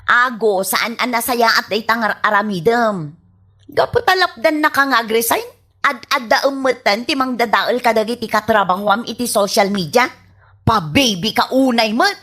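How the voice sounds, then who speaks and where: A woman's voice: on the high side, expressive, normal speed, pushed and bright. A woman with an Ilocano accent playing an exasperated, scolding wife in a radio comedy drama, nagging and indignant. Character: exasperated, scolding wife, ashamed of her foolish husband.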